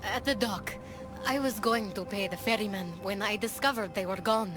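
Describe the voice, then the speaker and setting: A woman's voice: medium pitch, moderate pace, music in the background, lightly acted. An actress playing a puzzled dead woman in a video game, recalling things in a hesitant, searching way. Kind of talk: video game dialogue acting.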